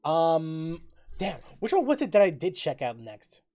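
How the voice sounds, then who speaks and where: High pitched guy streamer rambling casually, voice swings up and down, keeps restarting sentences, music behind him. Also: sing-song, darkish tone. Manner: halting, often repeating and rephrasing himself mid-sentence. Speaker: livestream host.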